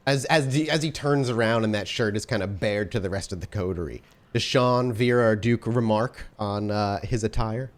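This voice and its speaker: A man's voice: on the low side, normal speed, few pauses. A man running a tabletop role-playing game as storyteller, describing scenes and asking the players questions. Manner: descriptive, asks players questions with many fillers.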